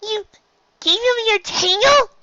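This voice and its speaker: A woman voicing a young pony filly, an innocently blunt little sister who asks puzzled questions in a squeaky voice. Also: takes it slowly, pushed and bright, no room sound, full character voice. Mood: surprised. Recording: slightly dull, lo-fi recording.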